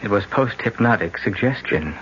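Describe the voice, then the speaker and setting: Sullen, resentful American son in his twenties, low voice, muffled vintage radio play. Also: expressive, normal speed, a bit bright. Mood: bitter, sardonic. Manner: bitter, sullen. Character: resentful, desperate twenty-four-year-old son, bitter gambler. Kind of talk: 1970s radio drama acting and first-person narration. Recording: dull, very noisy old radio recording.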